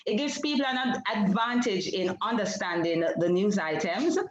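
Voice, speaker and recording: A Jamaican woman whose first language is Jamaican Creole, presenting her research over a video call in a measured, explanatory way, with frequent fillers and self-corrections. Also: medium pitch, reverberant, highs cut off. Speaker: PhD candidate presenting research. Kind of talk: academic seminar presentation.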